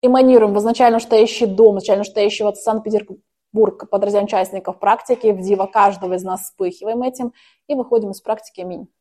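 A woman's voice: medium pitch, steady tone, fast talker, mellow. A woman leading a group spiritual practice, first intoning set phrases, then explaining at length in a chatty way with many fillers. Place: hall with a group.

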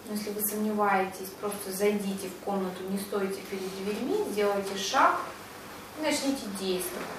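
A woman leading a guided visualization in unscripted speech, halting and rewording as she searches for words, recorded echoey and distant. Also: mid-range voice. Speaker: guide of a group visualization session. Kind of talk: unscripted guided meditation with casual discussion. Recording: very echoey, distant mic, clear background noise.

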